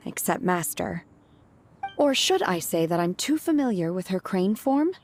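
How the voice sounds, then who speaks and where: A woman voicing a calm, reserved young disciple in a game, who speaks in a composed, plain way. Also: lowish voice, a little slow, heavily acted. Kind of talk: game voice acting.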